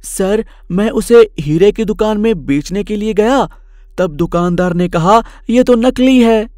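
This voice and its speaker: A man's voice: sits high, unhurried, a little mellow, no room sound, clean studio sound, pitched above the performer's own voice. A man voicing a whiny, repentant male servant in a cartoon moral story, pleading and deferential. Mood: ashamed, pleading.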